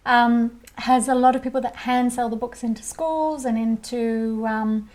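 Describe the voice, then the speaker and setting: An Australian woman chatting as author and co-host, friendly and quick to laugh at herself. Her voice sits a little on the high side. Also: steady tone, darkish tone. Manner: friendly, self-deprecating, laughs easily. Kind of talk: casual chat.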